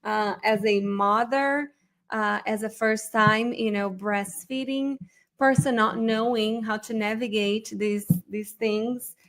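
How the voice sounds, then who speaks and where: A middle-aged woman from Brazil, a native Portuguese speaker, hosting an informal live online lesson in a chatty, animated way that addresses viewers directly. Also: on the high side, slow pace. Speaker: course instructor and webinar host.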